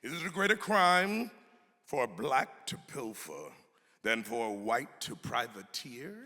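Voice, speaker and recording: A man's voice: medium pitch, big pitch swings, unhurried, sharp tone. A man giving a dramatic reading of a formal historical text in an emphatic, oratorical way. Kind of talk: dramatic reading of an 18th-century pamphlet. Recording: long hall reverb.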